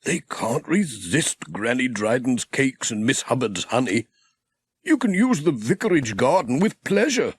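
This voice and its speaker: A man's voice: medium pitch, very animated, measured pace, breathy edge, dry recording, voice pushed up. A man voicing a genial, pious village vicar in a children's storybook, with a sing-song, encouraging, preacherly delivery.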